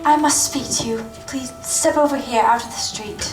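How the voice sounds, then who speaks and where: A woman's voice: sits high, normal speed, music in the background, close to an everyday voice. A woman playing a brave, desperate young woman from a thieves' gang in a staged radio play, urgent and secretive. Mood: urgent, pleading. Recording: echoey stage recording from far off.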